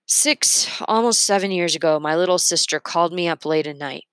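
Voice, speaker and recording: Storybook role: female reader playing a plain-spoken, rueful uncle, slightly halting, dry recording. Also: on the low side, clear, resonant tone, no room sound, close to an everyday voice. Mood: regretful. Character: adult uncle, low-key, frank, regretful man.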